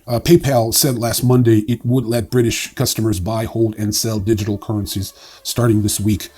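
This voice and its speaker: A man hosting a casual news roundup in an offhand, wry way, with a husky voice and frequent hesitations. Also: fairly low pitch, warm tone. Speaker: newsreel host. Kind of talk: casual crypto news commentary, partly read.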